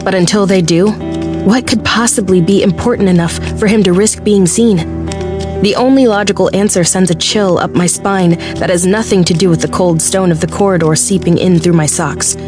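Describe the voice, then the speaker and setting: Woman acting young soldier girl narrating, tense, determined, lowish voice, music behind, fantasy audiobook. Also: moderate pace, lightly acted. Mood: anxious. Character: determined, anxious young female military cadet narrating her story. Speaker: lead narrator. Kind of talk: first-person dramatized audiobook narration.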